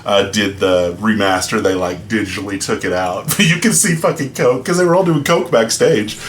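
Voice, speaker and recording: A man's voice: fairly low pitch, moderate pace, slight background noise. A man co-hosting a comedy podcast, cracking jokes and breaking into bits of songs in loose casual chat. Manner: joking interjections, sings song snippets. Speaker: podcast co-host.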